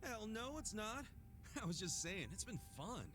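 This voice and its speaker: An actor playing a brash, good-hearted drinking buddy in a video game, blunt, earnest and easygoing. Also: male voice, high voice, very animated, a little slow, music in the background. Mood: upbeat. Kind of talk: English video game voice acting.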